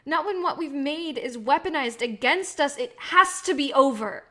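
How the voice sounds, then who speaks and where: A woman's voice: sits high, expressive, moderate pace, pushed and bright. A woman acting as a protective, guilt-ridden idealistic filmmaker in a staged play reading, speaking earnestly and pleadingly. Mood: anguished, resolute.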